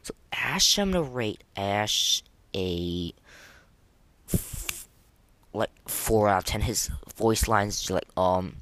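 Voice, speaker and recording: A man's voice: lowish voice, lively intonation, slow pace, fairly bright tone, no echo. A young man hosting a casual, unscripted podcast of game ratings in a hesitant, rambling, informal way. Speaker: podcast host.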